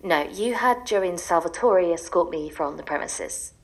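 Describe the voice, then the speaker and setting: A woman's voice: on the low side, expressive, unhurried, low-quality recording. An actress playing a desperate, exasperated wife who is sick of her husband, in an audio drama. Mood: terse, insistent. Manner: ranting in long bitter lists, sometimes dropping to a secretive whisper.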